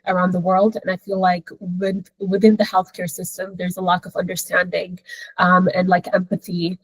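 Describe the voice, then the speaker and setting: A woman's voice: on the low side, monotone, normal speed, breathy edge, no room sound, low-quality recording. A woman moderating a webinar panel over a video call, friendly and meandering with many fillers.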